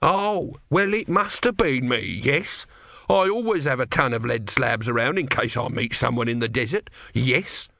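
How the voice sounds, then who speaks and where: A man's voice: mid-range voice, lively intonation, a little slow, creaky, sharp tone, no room sound, clean studio sound, exaggerated voice. A man voicing a grumpy small god trapped as a tortoise, evasive and sardonic, in a storybook reading. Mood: irritable.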